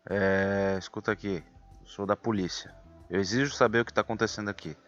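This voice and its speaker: A man's voice: lowish voice, steady tone, fairly quick, soft background music, natural voice. A man playing a stern, suspicious police officer, blunt and demanding, in a role-playing game, with dull lo-fi sound. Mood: indignant, demanding.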